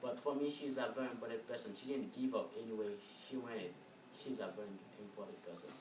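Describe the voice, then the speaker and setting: A man with a medium-pitched voice gives an informal report. His delivery is halting, emphatic and repetitive. The recording is echoey and distant, with clear background noise. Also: fairly even intonation. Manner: halting, repetitive, emphatic, non-native phrasing. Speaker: correspondent in amateur show. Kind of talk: informal spoken presentation. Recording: noisy echoey room, distant mic.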